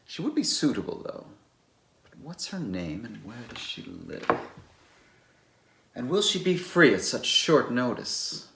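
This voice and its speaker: A man's voice: fairly low pitch, fairly bright tone, full character voice. A man playing a polite, slightly awkward scholarly bachelor in a dramatized novel reading. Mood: hesitant, musing. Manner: courteous, slightly awkward, hesitant. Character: polite, hesitant single man, a scholarly dinner host.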